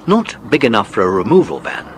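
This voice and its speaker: A man's voice: lowish voice, wildly swooping pitch, a little fast, a little hiss or hum. A man narrating a children's story from a script, as in an audiobook drama.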